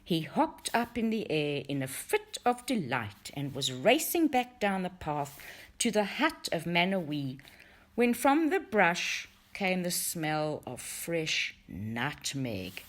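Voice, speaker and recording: A woman reading a folktale aloud as expressive storybook narration, in a measured, dramatic way. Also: on the low side. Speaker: reader of a folktale for a book study group.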